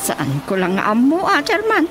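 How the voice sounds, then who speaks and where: Radio comedy role: complaining village councilor, Ilocano accent, high-pitched voice, light music bed. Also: female voice, huge pitch swings, moderate pace. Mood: reporting. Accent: Filipino, Ilocano. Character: female village councilor, grumbling to her chairman. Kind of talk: radio drama comedy acting.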